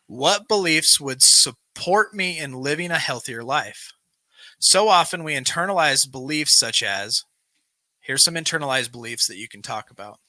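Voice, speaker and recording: Bright, forceful man hosting a recovery show. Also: mid-range voice, lively intonation, normal speed, no room sound. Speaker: host of a live recovery show. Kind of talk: reading aloud from a self-help text with casual asides.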